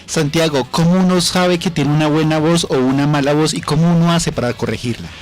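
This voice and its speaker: A man's voice: a little high, brisk, faint music behind. A Colombian man hosting an informal radio interview in a jokey, colloquial way. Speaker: radio show host. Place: radio station booth.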